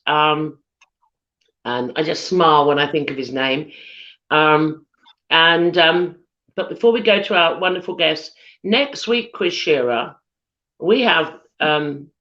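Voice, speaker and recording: A British woman hosting a live chat show on a video call, husky from losing her voice, chatty with lots of ums. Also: low-pitched, a little slow, a bit bright. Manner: chatty, giggly, many ums. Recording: dull lo-fi video call audio.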